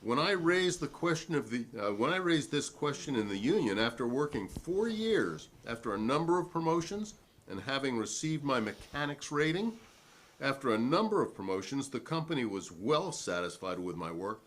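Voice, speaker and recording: Man playing a defiant, animated witness. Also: mid-range voice, normal speed. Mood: righteous, indignant. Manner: long-winded, righteous, formal, at times sarcastic. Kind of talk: dramatic reading of a hearing transcript.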